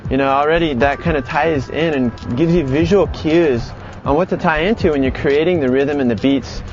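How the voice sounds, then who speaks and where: A man's voice: a little high, moderate pace, lo-fi sound, faint music behind. A man giving a lesson as an instructor, explaining in a conversational way. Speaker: animation acting instructor.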